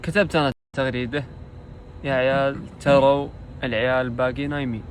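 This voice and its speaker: A man's voice: mid-range voice, level tone, brisk, a little hiss or hum. A Saudi man casually quizzing his friends on a spelling point, in a playful tone. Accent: Saudi Arabic. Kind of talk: casual chat among friends.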